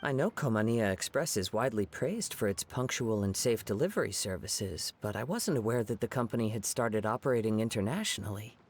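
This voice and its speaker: A man playing a courteous young poet-swordsman with a measured, modest, formal manner in a video game cutscene. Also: fairly low pitch, expressive, a little hiss or hum, close to an everyday voice. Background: game music.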